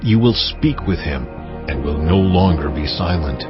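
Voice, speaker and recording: Audio Bible drama: stern almighty God, low and unhurried, solemn judgment over music. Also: male voice, expressive. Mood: grave, solemn. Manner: solemn, commanding, deliberate. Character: God, stern sovereign lord pronouncing judgment. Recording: muffled, music underneath. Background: background music.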